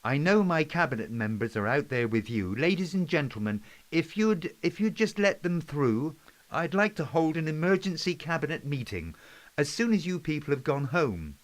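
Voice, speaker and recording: A man's voice: on the high side, very animated, moderate pace. A man playing a polite, folksy British Prime Minister in an amateur play, chatty and a little hesitant as he gives a public address. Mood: pleased, rallying. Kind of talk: amateur play script performance.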